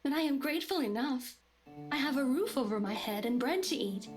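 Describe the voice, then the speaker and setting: A woman playing a meek but eerily calm servant in a visual novel, speaking in a deferential, measured, formal way. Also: high voice. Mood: meek, apologetic. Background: game music.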